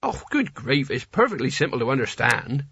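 A man's voice: mid-range voice, very animated, normal speed, vocal fry, pushed and bright, breathy edge, no echo, muffled recording, heavily acted. A man voicing a naive, earnest, indignant teenage boy in a storybook, hesitant and protesting. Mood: exasperated.

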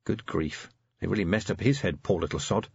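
A man voicing a blunt, harried male watch commander who is quick to bellow. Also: sits low, creaky, slightly rough, lo-fi sound, heavily acted. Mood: brusque.

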